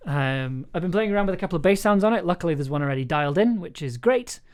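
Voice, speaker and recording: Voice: medium pitch, animated, fast, a little mellow. An enthusiastic, playful music producer chatting casually on a livestream, rambling a little and thinking aloud while working. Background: synth music and keyboard clicks.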